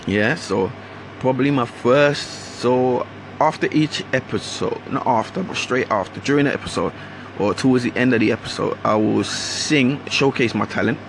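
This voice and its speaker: Gravelly London man with a Caribbean lilt. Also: mid-range voice, normal speed, noisy background. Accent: London with Caribbean traces. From Guyana. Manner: rambling, slangy, restarts often. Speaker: podcast host. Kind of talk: casual solo monologue.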